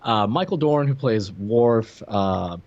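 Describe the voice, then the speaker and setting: A man's voice: on the low side, lively intonation, slow, darkish tone, highs cut off. A man co-hosting a podcast, chatting casually about film and television with wry, joking asides. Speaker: podcast co-host.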